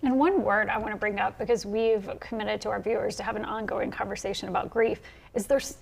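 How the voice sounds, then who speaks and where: A woman's voice: mid-range voice, steady tone, a little fast, vocal fry, breathy edge. A woman hosting a TV news interview show, leading the conversation in a friendly, conversational way.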